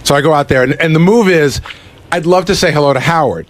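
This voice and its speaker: Fast-talking, higher-pitched male radio producer. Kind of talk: casual anecdotal radio talk.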